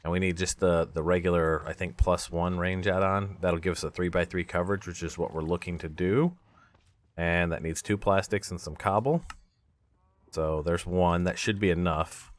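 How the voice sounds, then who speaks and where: A man with a low voice hosts a gaming video in a casual, unscripted way. He thinks out loud as he plays, talking himself through each step like someone working beside the viewer. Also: steady tone, normal speed. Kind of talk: casual let's-play commentary.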